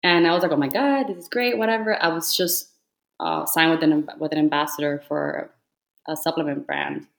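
A woman's voice: sits low, brisk, gravelly. A woman in her twenties from Cuba, with a slight Cuban Spanish accent, chatting casually as a podcast guest and using lots of "like" fillers. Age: 20s. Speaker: podcast guest, fitness influencer. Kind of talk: casual chat.

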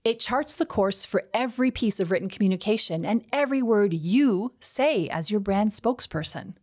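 A middle-aged woman with a slight Canadian accent hosting a scripted solo podcast, enthusiastic and emphatic, slowing down on key words. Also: mid-range voice, animated, a little mellow. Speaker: podcast host.

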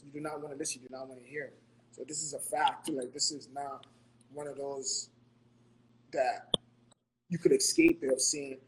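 Earnest higher-voiced man from Ghana. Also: a bit bright, a little hiss or hum, rough recording. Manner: earnest, repeats himself, ends points with "right?". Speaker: podcast co-host giving financial advice. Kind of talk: motivational casual talk.

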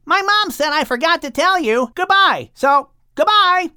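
A man's voice: very high-pitched, lively intonation, a little slow, pushed and bright, no echo, clean studio sound, voice pushed up, full character voice. A man voicing a pesky, silly young male dolphin who calls out childishly in an audiobook. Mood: cheerfully silly. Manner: calling out, childlike.

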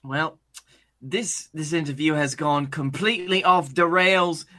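A man's voice: fairly high pitch, fairly even intonation, a little slow, pushed and bright. A young man co-hosting a comedy podcast, talking hesitantly with frequent ums and uhs. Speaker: podcast co-host. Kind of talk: casual comedy podcast banter.

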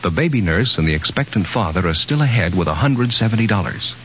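A man's voice: on the low side, expressive, fast, a bit bright, slight background noise. An American man announcing for a 1940s radio quiz show. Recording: dull old radio recording, music behind.

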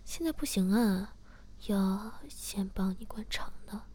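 A woman voicing a stern, teasing master in a low, steady whisper. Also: unhurried, a little hiss or hum, natural voice.

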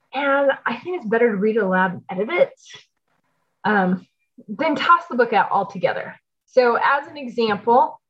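Podcast host: American woman, middle-aged, fairly high voice, conversational and explanatory, slightly muffled lo-fi sound. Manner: chatty, explanatory, often checks in with "okay" and "right". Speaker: podcast host giving homeschool tips. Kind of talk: casual conversational advice podcast.

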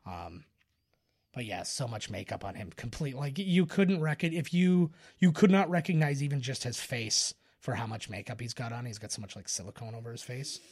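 A man's voice: mid-range voice, moderate pace, darkish tone. A man co-hosting a podcast, explaining film trivia in a casual, informative way. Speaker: podcast co-host.

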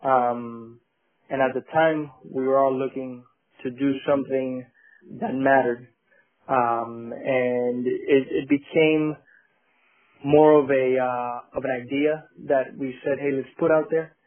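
A man, a company co-founder, talking about his business in a halting way as an interview guest. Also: medium pitch, steady tone. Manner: halting, many fillers and restarts. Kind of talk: casual interview. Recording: tinny phone-like line.

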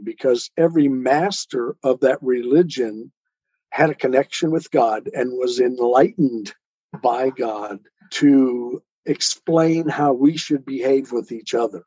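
A man giving explanations as an interview guest, deliberate, declarative and didactic in manner. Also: mid-range voice, warm tone, breathy edge, dry recording, lo-fi sound.

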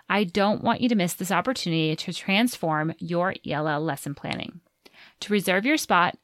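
A woman's voice: fairly low pitch, fairly bright tone, no room sound, studio quality. A woman hosting an education podcast, reading a scripted intro in an upbeat, promotional way. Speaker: podcast host.

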